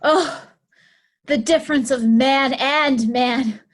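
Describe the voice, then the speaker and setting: A scheming, scornful noblewoman speaks in a very high voice at a slow, deliberate pace as acted by a woman. She is imperious and seductive, delivering Shakespearean verse in a play reading. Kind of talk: remote readers' theatre Shakespeare performance.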